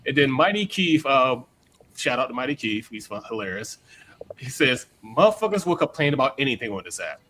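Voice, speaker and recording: A man's voice: medium pitch, big pitch swings, a little fast, a bit bright, slight background noise. A man co-hosting a casual podcast chat with blunt, grumbling humor. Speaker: podcast co-host.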